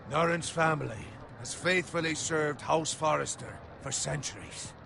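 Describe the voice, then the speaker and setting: An actor playing a proud, middle-aged noble lord commanding a medieval army in a fantasy game, stately and rousing. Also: male voice, a little high, vocal fry, background noise, full character voice. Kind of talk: video game fantasy acting.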